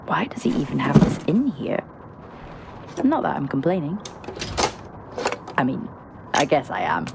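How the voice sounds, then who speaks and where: A woman's voice: sits low, very animated, unhurried, creaky, heavy background noise, heavily acted. An actress playing a guarded, dry-witted woman responder in a video game, speaking naturalistically in a wry, muttering way. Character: guarded, dry-witted female responder working alone at remote outpost.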